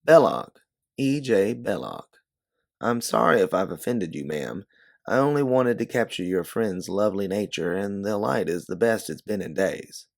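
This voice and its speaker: A man voicing an old-fashioned gentleman in a story, formal, deferential and apologetic. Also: on the low side, lively intonation, normal speed, vocal fry, dry recording, clean studio sound. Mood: apologetic, courteous. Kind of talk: storybook character dialogue.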